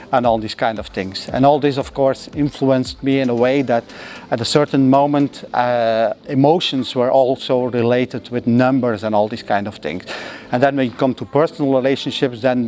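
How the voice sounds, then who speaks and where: A middle-aged man with a Flemish accent speaks at a medium pitch, reflecting slowly and stopping often for "uh" as he searches for words. His phrasing is careful and a bit non-native. Faint music plays underneath. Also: steady tone, a little mellow. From Belgium (Flanders). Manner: halting, frequent "uh" pauses, non-native phrasing. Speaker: interviewee, entrepreneur. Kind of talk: reflective interview answers.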